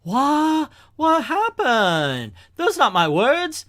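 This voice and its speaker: A boastful comic-book tyrant played by a man blusters in a raised, high voice. He barks commands like a warlord who expects to be obeyed, in a dry, close recording. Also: expressive, unhurried, a little mellow, no echo. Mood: panicked. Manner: blustering, barking orders. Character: loud boastful tyrannical male warlord villain. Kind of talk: comic book villain read-aloud.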